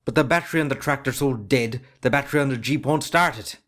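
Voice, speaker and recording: Sullen farm lad, acted by a man, Irish, medium-pitched, defensive, amateur play. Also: fast, a bit bright, natural voice. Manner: defensive, grumbling. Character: sullen, put-upon young farmer's son. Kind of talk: amateur stage drama acting.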